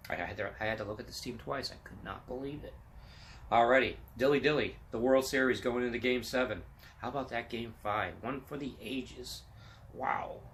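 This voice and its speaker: Upbeat gravelly man in his fifties. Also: lowish voice, fairly bright tone, a little hiss or hum. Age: 50s. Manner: enthusiastic, upbeat, motivational. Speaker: vlog host. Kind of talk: casual sports and fitness chat.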